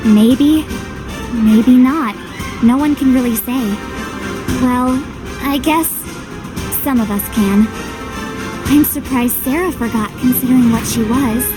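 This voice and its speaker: An actress playing an earnest, plucky young heroine in a fantasy game, with a casual, youthful way of talking. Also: female voice, high-pitched, slow, pauses often, low-quality recording. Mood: musing. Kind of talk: scripted fantasy game acting. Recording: music behind.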